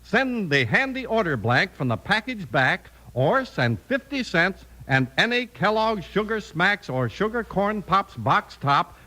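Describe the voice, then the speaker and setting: A man's voice: sits high, bright, forceful tone. A man announcing in the emphatic, scripted style of a 1950s radio show. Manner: emphatic salesman-like delivery. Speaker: announcer and narrator.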